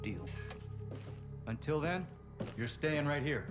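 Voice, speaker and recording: A man's voice: on the high side, lively intonation, takes it slowly, loud music behind the voice. A man playing a menacing, smug private military commander in a game, coolly threatening. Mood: firm. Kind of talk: video game drama acting.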